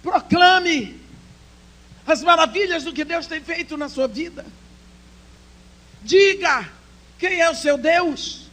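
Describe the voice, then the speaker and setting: A woman whose first language is English, preaching with passion at a women's church conference, swinging between loud proclaiming and hushed storytelling. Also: very high-pitched, slow, long pauses. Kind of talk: sermon.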